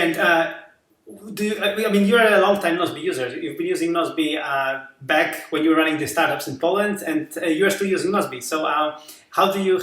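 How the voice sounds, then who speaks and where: A man hosting an interview who asks halting questions full of fillers and restarts. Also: fairly high pitch, a bit bright, far from the mic. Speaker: interviewer, show host. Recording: echoey room, off-mic.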